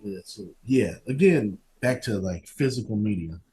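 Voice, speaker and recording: A man hosting a podcast interview in casual chat, haltingly repeating phrases as he goes. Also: on the low side, lively intonation, dark tone.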